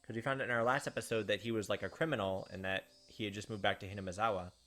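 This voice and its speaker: A man's voice: fairly low pitch, steady tone, racing, fairly bright tone. A man hosting a let's play, chatting casually and reading the game's story text aloud.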